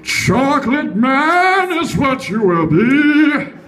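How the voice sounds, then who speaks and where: A man playing a scheming, gleefully mad young factory worker in theatrical, drawn-out comic horror storytelling. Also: very high voice, big pitch swings, fairly bright tone, faint music behind, full character voice. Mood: gleeful incanting.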